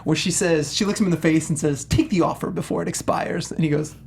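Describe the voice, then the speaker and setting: A man's voice: a little high, quick pace, vocal fry, darkish tone. A man co-hosting a casual discussion show, talking enthusiastically and emphatically, often saying "like". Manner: enthusiastic, emphatic, heavy use of "like". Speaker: panel show co-host. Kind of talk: casual film and game discussion.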